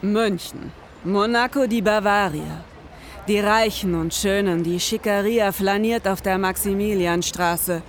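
A woman playing a pompous, gruff, world-weary noir detective, narrating a crime radio play in a dramatic, deliberate manner. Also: medium pitch, a little hiss or hum, lightly acted. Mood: brooding.

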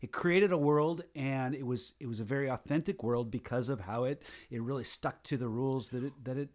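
A man co-hosting a podcast, talking things through thoughtfully in halting, restarting sentences. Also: medium pitch. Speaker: podcast co-host. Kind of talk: casual chat.

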